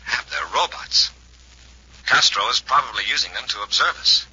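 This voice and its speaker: A man playing a brisk, resolute space patrol commander in 1950s radio acting, clipped and authoritative, on a muffled old recording. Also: medium pitch, animated, pushed and bright, slight background noise. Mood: alert.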